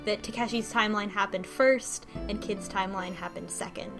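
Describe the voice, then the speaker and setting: A woman co-hosting a podcast, casually retelling a story in halting bursts with false starts and some swearing. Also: medium pitch, steady tone, over music. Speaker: podcast co-host recapping a story. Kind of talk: casual conversational plot recap.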